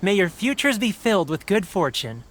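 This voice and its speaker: A woman's voice: medium pitch, very animated, normal speed, natural voice. A woman playing a courteous festival fortune teller in a video game, speaking in formal, ceremonious phrases. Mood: kindly. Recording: studio voice under game music. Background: music.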